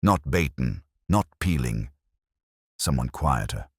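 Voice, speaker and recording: A man voicing a courteous, grave police inspector in a story, measured and solemn. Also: rumbling low, animated, slightly rough, dry recording, studio quality, heavily acted. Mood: quietly pensive.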